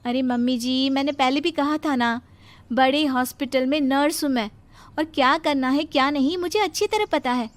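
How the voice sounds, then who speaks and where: A woman's voice: sits high, normal speed, close to an everyday voice. A woman voicing a headstrong, self-assured young nurse and daughter-in-law, confident and dismissive, in a cartoon story. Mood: insistent, breezy. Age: young adult. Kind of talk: cartoon moral story voice acting.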